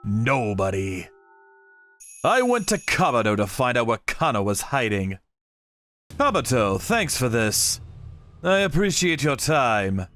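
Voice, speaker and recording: Guy narrating a dark story, slow n serious, music under it. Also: mid-range voice, big pitch swings. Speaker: narrator of a manga story, telling it in first person as a vigilante character. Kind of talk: scripted dramatic narration. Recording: music behind the voice.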